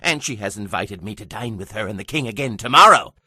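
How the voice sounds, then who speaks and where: An actor playing a vain, boastful royal official and villain in a dramatized audio Bible, in a theatrical, self-important manner. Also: male voice, fairly low pitch, expressive, brisk, sharp tone, breathy edge, no room sound, clean studio sound, heavily acted. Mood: smug, boastful.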